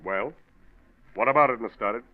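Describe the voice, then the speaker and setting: Deliberate male detective lieutenant for vintage radio crime drama, played by an actor; courteous, probing, narrow muffled old recording. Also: medium pitch, natural voice. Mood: measured, skeptical. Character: measured, businesslike male police lieutenant. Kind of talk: 1940s radio mystery acting.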